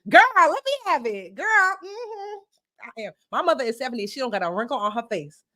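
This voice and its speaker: A woman's voice: sits high, sing-song, fairly quick, pushed and bright. A woman in her forties hosting a live personal finance session, explaining credit in a playful, sassy way and speaking straight to her audience. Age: 40s. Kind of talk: live-stream teaching chat.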